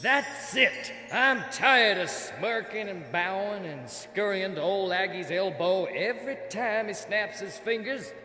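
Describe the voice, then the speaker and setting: A man's voice: sits high, lively intonation, unhurried, hardly any pauses, pushed and bright, soft background music, full character voice. An actor playing a scheming, resentful villain in an audio drama, pompous and unctuous in his delivery. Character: scheming, resentful villain, flattering courtier turned dark warlord. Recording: echoey hall reverb with background noise.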